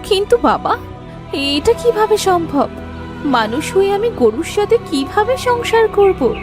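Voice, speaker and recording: A woman plays a sweet, obedient young village daughter from a cartoon. She speaks very high with lively, swooping intonation, earnest throughout, over clear background music. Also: unhurried, exaggerated voice. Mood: earnest, dismayed. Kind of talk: Bengali cartoon voice acting.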